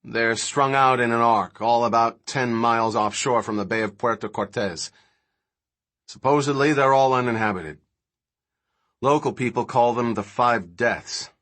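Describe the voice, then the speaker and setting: A man's voice: medium pitch, unhurried, a bit bright, natural voice. Man voicing an intense, lecturing male scientist. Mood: explanatory. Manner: emphatic, declamatory, rhetorical.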